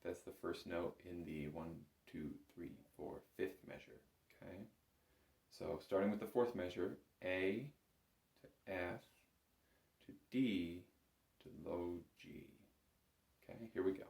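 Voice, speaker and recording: A man's voice: low-pitched, slow pace, vocal fry, pauses often, a little mellow, roomy sound. A man giving an informal step-by-step music lesson as an instructor, calling out notes and counts. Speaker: bagpipe instructor.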